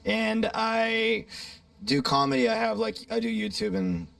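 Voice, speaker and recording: A man from California who does comedy, answering in an animated, jokey way. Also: extremely high pitch, unhurried, creaky, no echo. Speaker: interviewee, comedian. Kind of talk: casual interview answers. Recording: distant, dry sound.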